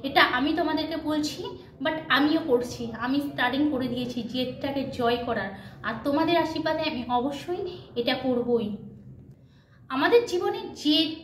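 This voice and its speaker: A very high-voiced woman gives a motivational vlog chat to her followers. She is emphatic, repeats points to drive them home and drops in English words. The room is echoey, with faint noise behind her. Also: fairly bright tone. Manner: mixes English words into speech. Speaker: video blogger.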